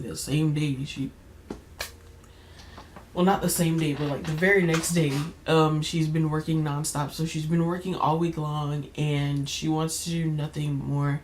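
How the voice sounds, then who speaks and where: A young woman with a slightly raspy voice, chatting casually while playing a life-sim game, rambling with many fillers and restarts. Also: deep voice, darkish tone, a little hiss or hum. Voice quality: slightly raspy, keeps clearing throat. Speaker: gaming YouTuber. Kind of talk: casual gameplay commentary.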